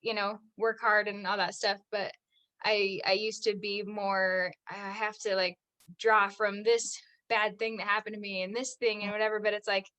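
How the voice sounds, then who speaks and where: Young american actress, super chatty, lots of "like", bright clear voice. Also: female voice, medium pitch, little pitch movement, moderate pace. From United States. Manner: chatty, says "like" constantly, laughs often. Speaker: actress, podcast guest. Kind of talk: casual interview chat.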